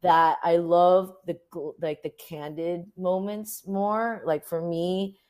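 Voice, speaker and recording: A woman's voice: lowish voice, fairly even intonation, slow pace, darkish tone. An American woman being interviewed as a photographer, talking casually and informally, thinking aloud as she goes. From Orange County, United States.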